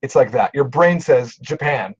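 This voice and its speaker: A middle-aged man and language teacher chatting casually on a video call, animated and self-deprecating. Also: medium pitch, normal speed, breathy edge, lo-fi sound. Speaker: Japanese teacher and show host. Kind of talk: casual livestream conversation.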